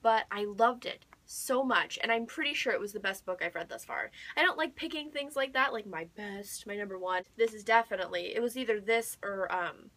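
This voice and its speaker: A woman's voice: medium pitch, lively intonation, fairly quick, few pauses, fairly bright tone. A young woman chatting casually as a book vlogger, gushing and emphatic, often repeating words for emphasis. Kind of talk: casual chat to camera.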